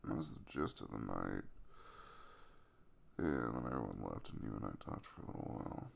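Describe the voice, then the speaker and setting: Guy acting as a sleepy sweet young man, deep crackly voice, hesitant mumbly asmr roleplay. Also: expressive, brisk, gravelly, exaggerated voice. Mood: casual, hesitant. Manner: hesitant, casual, chuckling mumble. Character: sleepy, caring young man waking in his crush's bed. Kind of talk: intimate ASMR boyfriend roleplay.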